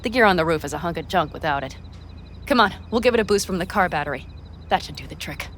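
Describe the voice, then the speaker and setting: A woman's voice: lowish voice, lively intonation, fast, a little hiss or hum, natural voice. An actress playing a hot-tempered, loyal young nomad woman, blunt and sweary, in naturalistic video game dialogue. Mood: eager, commanding.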